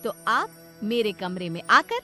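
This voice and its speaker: A woman's voice: mid-range voice, sing-song, unhurried, sharp tone, soft background music, exaggerated voice. A woman voicing a hot-tempered, domineering elder sister-in-law who scolds and commands in a moral story. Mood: haughty.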